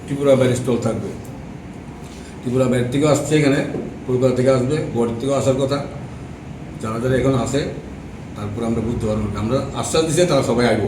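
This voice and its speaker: A man with a Tripura Bengali accent speaks haltingly as an association spokesman briefing a press conference. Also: medium pitch. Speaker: trade association secretary, spokesman. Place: press meet in an echoey room. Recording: echoey, distant mic, noisy room.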